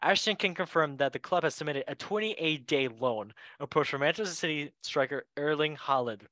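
Bright-voiced male football show host. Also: a little high, fairly quick, no room sound. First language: not English. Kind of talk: casual sports chat show commentary.

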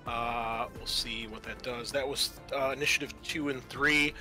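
A man with a bright, forceful voice at a middle pitch runs a tabletop game. He narrates casually and thinks aloud, with faint music underneath. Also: fairly even intonation, normal speed. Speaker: game master.

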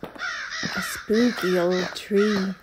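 A woman narrating a picture book aloud in a deliberate, suspenseful storytelling manner for young children. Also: medium pitch, huge pitch swings, poor audio. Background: music.

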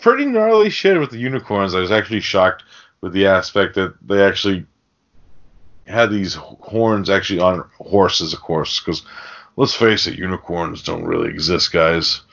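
A man's voice: sits low, expressive, moderate pace, gravelly, a bit bright. A man hosting a casual podcast, recapping a film in a rambling, profane, joking way. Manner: rambling, crude joking delivery with mimicked lines. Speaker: podcast host. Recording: dull lo-fi podcast audio.

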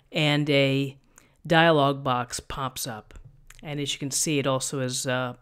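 Middle-aged woman, thin voice, calm step-by-step explaining, desk mic, tutorial host. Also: a bass voice. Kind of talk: screen-recorded software tutorial. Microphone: USB desk microphone.

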